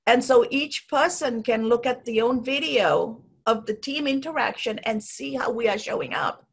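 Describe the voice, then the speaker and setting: A woman's voice: on the high side, expressive, normal speed, gravelly, breathy edge. A woman with a Sri Lankan accent hosting a podcast, explaining ideas in a conversational way and often checking in with "right?". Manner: explanatory, frequently adds "right?". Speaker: podcast host.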